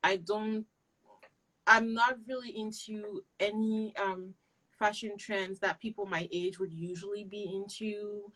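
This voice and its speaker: A woman's voice: mid-range voice, steady tone, unhurried, sharp tone, rough recording. Young woman from cameroon giving earnest advice in an interview, says um a lot. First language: not English. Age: young adult. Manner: earnest, advising, with fillers. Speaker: guest, boutique owner. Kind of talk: casual interview.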